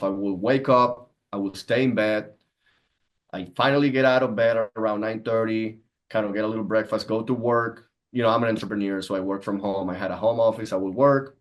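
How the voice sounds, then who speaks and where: A man's voice: lowish voice, fairly even intonation, moderate pace. A man, explaining and telling personal stories conversationally as a podcast guest. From Venezuela. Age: 30s. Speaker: podcast guest, entrepreneur and coach.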